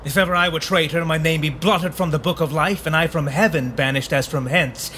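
A man's voice: fairly high pitch, fairly quick, bright, forceful tone. An actor playing a proud, ageing English nobleman with a grievance, speaking Shakespearean verse with impassioned declamation. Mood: bitter, defiant. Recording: faint background noise.

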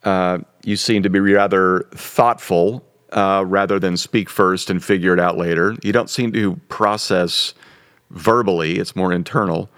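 A man in his fifties, a pastor, talking in a relaxed, joking, self-deprecating way. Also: low voice, unhurried, smooth, no echo, clean studio sound. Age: 50s. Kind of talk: casual podcast conversation.

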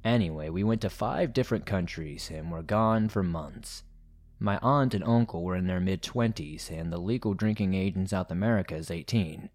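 A man reading first-person horror stories as a narrator, in a measured, deliberate storytelling manner. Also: sits low, a little mellow. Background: background music.

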